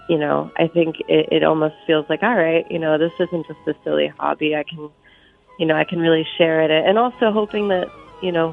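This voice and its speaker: A woman with a stuffy, slightly sick voice speaks softly and loosely through a thin, tinny phone line, with a gravelly edge to her speech. Faint music sits under the call. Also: low voice. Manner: soft-spoken, relaxed, many fillers. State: stuffy from a cold. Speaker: musician guest. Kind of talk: live radio phone interview.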